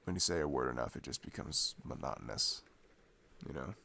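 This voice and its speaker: A man playing an affectionate, reflective boyfriend in an intimate ASMR roleplay, speaking softly and tenderly with hesitant pauses. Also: very deep voice, animated, gravelly, slight background noise. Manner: soft, hesitant, tender, pausing between phrases.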